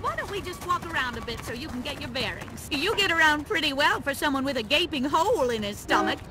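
A woman's voice: high-pitched, lively intonation, fast talker, noisy background. A woman voicing a chirpy, naive, over-polite female tour-guide robot in a video game. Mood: perky, helpful. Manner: perky, over-polite helpful chatter. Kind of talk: video game character acting. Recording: music behind the voice.

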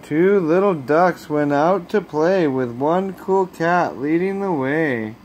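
A man reading a children's bedtime story aloud as a storyteller, with expressive, deliberate phrasing, recorded on a handheld phone at home. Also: a little high, a little hiss or hum. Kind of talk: bedtime picture-book read-aloud. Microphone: handheld phone camera.

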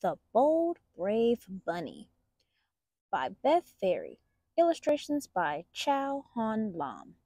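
A woman reads a picture book to children in a soft-edged, mellow voice. Her delivery is unhurried and very lively in pitch, with the deliberate phrasing of a storyteller. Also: creaky, dry recording. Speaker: storytime host reading aloud.

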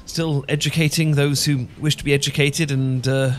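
A man's voice: on the high side, level tone, moderate pace, slight background noise, natural voice. A man with a British accent playing an awkward, sentimental English professor in a romantic ASMR roleplay, stammering and hesitant. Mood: flustered, sheepish. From Britain. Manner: stammering, hesitant, full of false starts. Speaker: audio roleplay performer.

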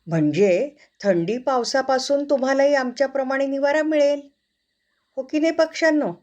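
A woman acts out a little bird from a children's fable. The voice sits high and chirps along in an earnest, advising way, like a well-meaning neighbour with a tip to share. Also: normal speed, a little mellow, muffled recording, close to an everyday voice. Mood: eager, chirpy. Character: small well-meaning birds giving earnest, preachy advice. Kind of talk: children's storybook character voice.

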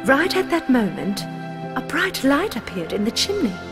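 A woman's voice: high voice, sing-song, moderate pace, over music. A woman narrating a scripted fairy tale as a storyteller.

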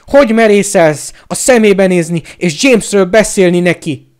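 A man's voice: sits high, clean tone, voice pushed up, lightly acted. A man voicing a vengeful, furious adult man betrayed by his friend, with snarling, accusing delivery in an audiobook. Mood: outraged. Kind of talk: audiobook character acting.

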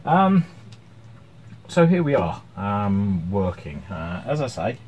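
Low-voiced man, noisy workshop. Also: sing-song, slow pace, darkish tone, highs cut off. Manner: hesitant, unscripted, thinking aloud. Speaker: electronics hobbyist presenting his repair. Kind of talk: informal workbench demonstration. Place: small cluttered indoor workshop at home. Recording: clear background noise, room ambience.

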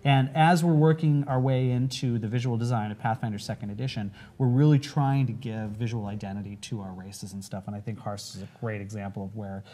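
A man's voice: on the low side, fairly even intonation, fairly quick, few pauses, mellow. A man in his forties hosting a conversational on-camera interview, seated indoors. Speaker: host, game company creative director.

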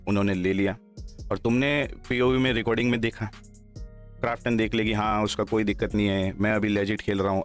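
A man in his thirties, an esports player, talking bluntly and heatedly into a headset mic from a small room, repeating himself to press his points. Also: fairly low pitch. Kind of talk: livestream talk and call recording. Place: small room, sitting in a gaming chair.

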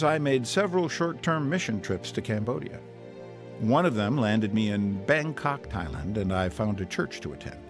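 A man's voice: medium pitch, big-room sound, close to an everyday voice. An actor playing an earnest, preachy reformed man turned missionary, telling his testimony in a radio drama. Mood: earnest, friendly.

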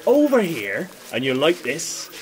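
An actor playing a genial but sly rustic villager in an audio drama, folksy and theatrical. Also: male voice, a little high, huge pitch swings, a little slow, noisy background. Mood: genial, proud.

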